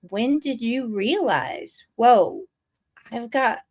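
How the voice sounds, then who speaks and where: A woman's voice: fairly high pitch, very slow, a little mellow. A woman of about fifty hosting a reflective personal conversation, speaking earnestly and repeating phrases for emphasis. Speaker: podcast host and interviewer.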